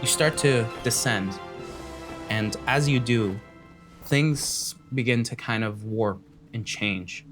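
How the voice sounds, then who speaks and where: A man's voice: fairly low pitch, a bit bright. Man narrating a tabletop fantasy game over music. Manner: vivid, descriptive storytelling in second person. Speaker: game master.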